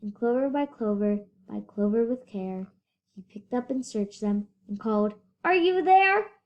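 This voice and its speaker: A female reader giving an animated children's picture book read-aloud in rhyme. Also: medium pitch, normal speed, dull audio. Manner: animated rhyming delivery with whispered asides. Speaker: story reader.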